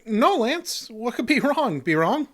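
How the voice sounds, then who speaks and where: A punch-drunk, folksy janitor in an old-time radio comedy. His pitch swoops around, and he nervously repeats the tail ends of his phrases. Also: very animated, a little slow, exaggerated voice. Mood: puzzled. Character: punch-drunk male custodian, folksy and jittery.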